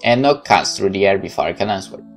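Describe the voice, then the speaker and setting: A man with a Polish accent hosts a game playthrough in a fairly low voice. He reads the story text aloud with some drama, stumbles now and then, and slips into casual asides. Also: lively intonation, quick pace, faint music behind. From Poland. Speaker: let's play host.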